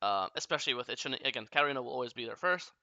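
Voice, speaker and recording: A man's voice: medium pitch, expressive, sharp tone, dry recording, muffled recording. A young man coaching a player through a game replay, talking bluntly and often swearing as he explains. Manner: blunt, profane, rapid explaining.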